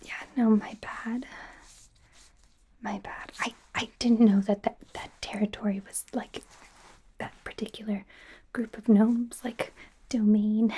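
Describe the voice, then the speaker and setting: A woman playing a friendly, anxious young werewolf medical student in an ASMR roleplay, whispering in a nervous, hesitant ramble with stammered repeats. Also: mid-range voice. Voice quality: breathy soft whisper.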